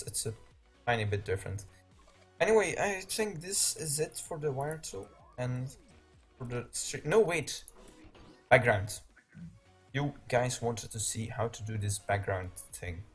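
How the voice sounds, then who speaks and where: A man's voice: mid-range voice, husky. A man from Belgium with a Belgian Dutch accent, a Dutch native speaker, hosting a game-tutorial live stream in loose, rambling chat and laughing often.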